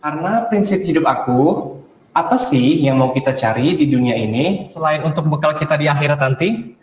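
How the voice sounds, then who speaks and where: Actor as friendly young student in echoey hall. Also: male voice, on the high side, normal speed, a little hiss or hum, lightly acted. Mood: earnest. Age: young adult. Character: friendly young devout college student raised in America. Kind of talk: acted dialogue in a short film. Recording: echoey, reverberant hall playback.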